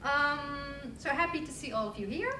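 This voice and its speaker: A young woman moderates a panel informally, chatting brightly. Her voice sits a little high and reaches us from some distance in an echoey conference room. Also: animated, moderate pace, a little hiss or hum. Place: conference room with audience, table microphone.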